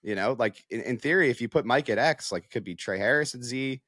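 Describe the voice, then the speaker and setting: A man's voice: mid-range voice, quick pace, fairly bright tone, no room sound, clean studio sound. A man analysing football in a casual podcast discussion, with an emphatic manner that leans on repeated phrases.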